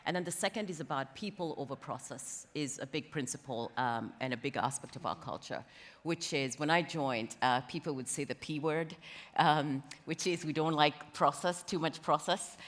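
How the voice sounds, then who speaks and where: Low, even-voiced woman in a reverberant hall. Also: normal speed. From Kenya. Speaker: interviewee, company talent executive. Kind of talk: conversational panel interview answers. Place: conference stage in a large hall. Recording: reverberant hall, stage event.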